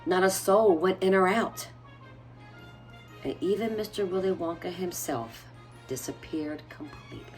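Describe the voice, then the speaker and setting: A woman's voice: on the low side, unhurried, natural voice. Woman reading as an old grandfather, dramatic storyteller over music. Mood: solemn, dramatic. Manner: dramatic, suspenseful storytelling with hushed moments. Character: old grandfather telling a mysterious, dramatic tale.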